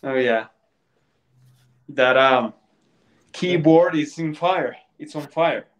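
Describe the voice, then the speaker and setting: A man co-hosts a live webinar over a video call. His voice sits a little high, and he talks haltingly, often repeating words as he goes. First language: Spanish. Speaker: webinar co-host. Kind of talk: casual live-stream chat.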